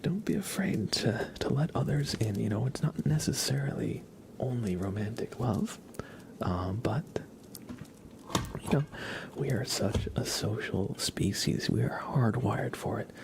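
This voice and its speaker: A man hosting an ASMR livestream, talking softly in a gentle, unhurried, chatty way to his viewers. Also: mid-range voice, lively intonation, gravelly. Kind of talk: ASMR, soft whispered live chat and reading.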